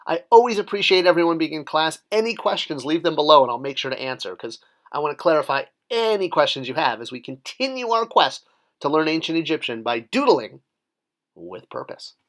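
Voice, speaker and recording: A man's voice: on the high side, very animated, a little fast, fairly bright tone. A man teaching how to read and draw hieroglyphs in a casual tutorial, explaining step by step with friendly, self-deprecating asides. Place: voice-over for an overhead video of paper drawings.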